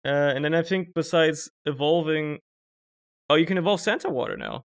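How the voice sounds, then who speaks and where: A man's voice: a little high, moderate pace, creaky. A young man with German as his first language, streaming a video game and rambling through casual, joking commentary that swears often, recorded at home on a desk microphone.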